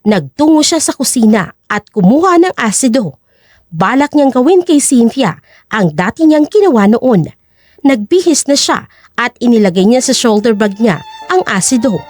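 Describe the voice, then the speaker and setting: High-pitched animated woman storyteller. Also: moderate pace, dry recording, clean studio sound. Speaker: audio drama narrator. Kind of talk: dramatic scripted narration.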